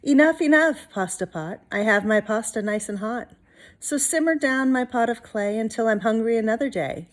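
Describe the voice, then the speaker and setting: A woman's voice: a little high, moderate pace, natural voice. Woman as a storybook old witch grandmother, stern, commanding, singsong, slightly raised voice. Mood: singsong chanting.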